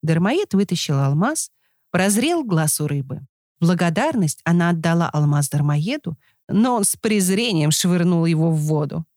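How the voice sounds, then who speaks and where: A woman reading a scripted folk tale as an expressive storyteller. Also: fairly low pitch, moderate pace, no room sound, clean studio sound.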